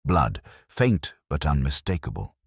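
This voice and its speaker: A man narrating a scripted detective story as a brooding police inspector, in a measured, solemn, literary way. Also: rumbling low, sing-song, husky, no room sound, clean studio sound.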